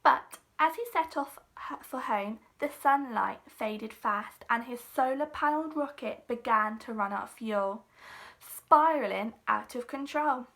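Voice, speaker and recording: A woman's voice: a little high, unhurried, a bit bright. A woman teacher reading a children's storybook aloud expressively, with dramatic emphasis.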